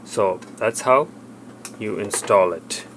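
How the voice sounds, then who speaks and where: Low-voiced man giving a hands-on tutorial. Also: noisy background. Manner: halting, plain step-by-step explaining. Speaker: tech tutorial presenter. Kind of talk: hands-on product demonstration, unscripted.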